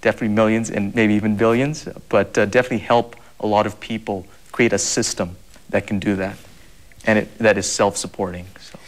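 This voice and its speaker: A man's voice: on the low side, flat delivery, fairly quick. A young man from Zambia, answering interview questions earnestly, with many fillers and repeated words. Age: young adult. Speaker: guest.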